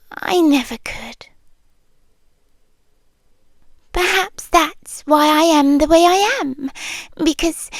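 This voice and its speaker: A woman with a British accent playing a possessive, devoted tailed creature girlfriend in a soft, breathy, often whispered ASMR roleplay. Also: sits high, no room sound, full character voice. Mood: possessive, brooding. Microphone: close, dry ASMR microphone.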